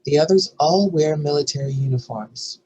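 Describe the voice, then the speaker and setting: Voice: mid-range voice, normal speed, mellow, poor audio. A narrator reading scene headings and stage directions from a screenplay in a scripted style. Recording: faint background noise and room ambience.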